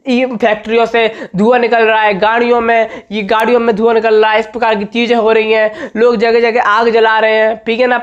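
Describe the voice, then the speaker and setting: A man with a slightly hoarse voice, giving an informal exam-tips lesson as a teacher, emphatic and repetitive, often checking that students follow. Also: extremely high pitch, little pitch movement, fairly quick, few pauses. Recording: dull, muffled lo-fi audio.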